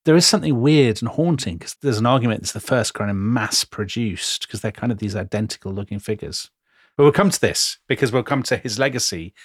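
A man in a relaxed history podcast chat, his voice sitting at an ordinary middle pitch. He keeps things lively and jokey with quick asides. The recording is dry and close, with no room sound. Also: breathy edge, no echo. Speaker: podcast co-host.

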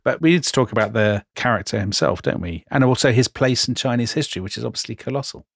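A man co-hosting a conversational history podcast, talking in a lively, jokey way with quick asides. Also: medium pitch, vocal fry, breathy edge, no echo. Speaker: podcast co-host.

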